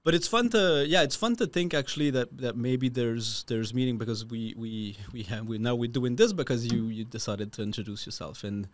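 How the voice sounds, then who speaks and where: A man hosting a casual interview, talking in halting phrases with many restarts. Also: mid-range voice. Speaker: podcast host.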